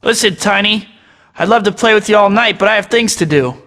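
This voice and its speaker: An actor voicing a young masked superhero in a video game, talking himself through each task with wry jokes. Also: male voice, high voice, a little fast, a bit bright, big-room sound. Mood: wisecracking. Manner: wry, joking asides to himself. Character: young male masked web-slinging superhero, wisecracking. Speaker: video game hero voice clips. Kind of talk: game voice-over lines.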